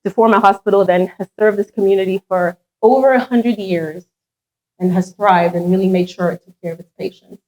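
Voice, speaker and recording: A woman's voice: mid-range voice, a little fast, dark tone, poor audio. A middle-aged woman who grew up in France and whose first language is French, leading a charitable foundation and giving an earnest story-driven speech with a touch of self-deprecating humor. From Ghana, raised in France. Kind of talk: public speech at a meet and greet.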